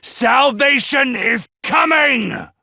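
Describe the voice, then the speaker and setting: An actor voicing a crazed, contemptuous, murderous villain in a theatrical anime game style, with a raspy, gravelly voice and a sneering, dramatic delivery. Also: male voice, animated, drawn-out words, sharp tone, shouting, full character voice. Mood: haughty threat. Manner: sneering, unhinged, dramatic swings from low menace to screams.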